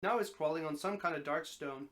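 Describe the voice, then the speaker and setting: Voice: mid-range voice, a little fast, fairly bright tone. A person giving a live dramatic reading of a story, narrating expressively with the occasional stumble and repeated phrase. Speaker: streamer reading a story aloud.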